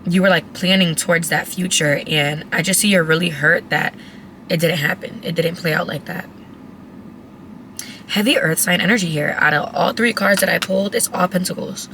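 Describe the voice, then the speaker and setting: A woman who has lost her voice, raspy and cracking, giving a chatty, blunt tarot reading to her viewers. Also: fairly low pitch, moderate pace, a bit bright, slight background noise, low-quality recording. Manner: chatty, blunt, swears casually. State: hoarse, voice cracking. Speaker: tarot reader. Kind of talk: casual tarot reading to camera.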